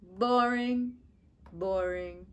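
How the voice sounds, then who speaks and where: A woman voicing a bored, grumpy talking potato in a storybook, deadpan, drawn-out and dismissive. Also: mid-range voice, background noise, pitched below the performer's own voice.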